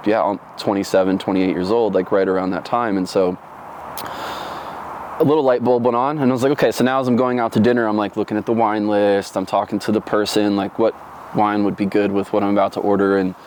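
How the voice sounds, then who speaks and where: A man's voice: on the low side, steady tone, a little fast, darkish tone, slight background noise. A man from Florida in his thirties, telling his life story in an oral history interview, reflective and rambling with many fillers and restarts.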